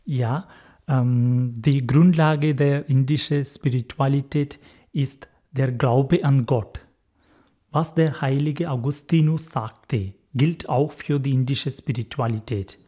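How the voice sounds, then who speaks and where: Young indian guy talking slow and careful, lots of ums, soft dark voice. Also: mid-range voice. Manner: careful, halting German with fillers, recently learned. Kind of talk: interview answers.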